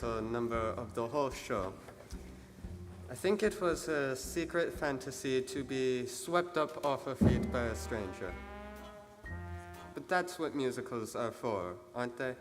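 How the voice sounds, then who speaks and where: An actor playing a wistful, wry musical-theatre fan who confides in the audience as a conversational stage narrator. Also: male voice, mid-range voice, a little slow, natural voice. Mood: wistful, confiding. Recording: echoey hall, faint music behind.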